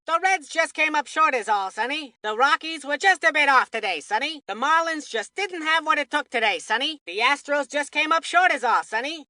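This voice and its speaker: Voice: sits high, pushed and bright, no echo, clean studio sound, exaggerated voice. An actor playing a folksy old-timer baseball commentator in a cartoon video game, with old-timey phrasing. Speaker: sports commentator.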